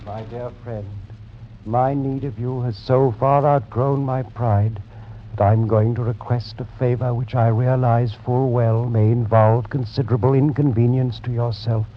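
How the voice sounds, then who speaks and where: A man's voice: medium pitch, fairly even intonation, a little hiss or hum, close to an everyday voice. An actor playing a sick, desperate nobleman pleading with an old friend by letter, in 1940s radio drama style. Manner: pleading, grave, slow reading of a letter. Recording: muffled old radio broadcast.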